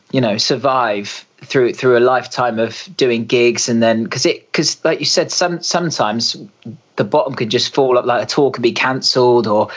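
A man in his thirties hosts a relaxed podcast chat, talking in an easy, rambling way and laughing often. His voice sits at a middle pitch for a man. The recording sounds slightly dull and lo-fi. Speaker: podcast host, rock singer. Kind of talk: casual interview. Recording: muffled lo-fi audio.

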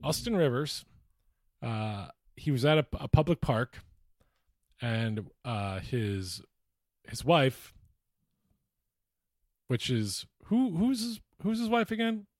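A man's voice: medium pitch, very animated, takes it slowly, pauses often, no echo, clean studio sound. A man co-hosting a comedic sports podcast in casual chat, with a mocking, profane streak. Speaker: podcast host.

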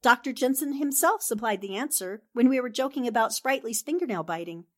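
Woman playing a high-voiced, sharp 1940s newspaperwoman. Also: animated, fairly quick. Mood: confident, explanatory. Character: sharp, confident newspaperwoman explaining a murder plot. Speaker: voice actor in an amateur radio drama. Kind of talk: 1940s radio drama acting.

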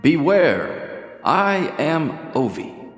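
A man's voice: a little high, very animated, extremely slow, roomy sound, in a large hall, soft background music, exaggerated voice. A man voicing a young male sphinx cub who speaks in a grand, formal, declaiming way. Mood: haughty.

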